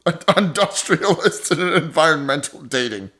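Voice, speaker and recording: Higher-voiced male improv podcast co-host. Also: animated, normal speed, sharp tone. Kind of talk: casual improv podcast banter.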